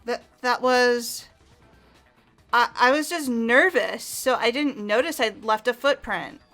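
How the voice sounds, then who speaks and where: A flustered young woman suspect, played by a woman in a fan-voiced game drama, stammering and defensive. Her voice is bright and sharp, pitched a little high. Light music plays behind her. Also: slow pace. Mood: nervous.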